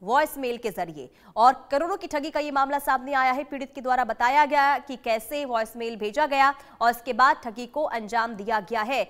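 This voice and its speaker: High-pitched woman news anchor, urgent. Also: moderate pace, few pauses, fairly bright tone. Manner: urgent, cautioning, repetitive. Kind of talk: live breaking-news reporting, ad-libbed.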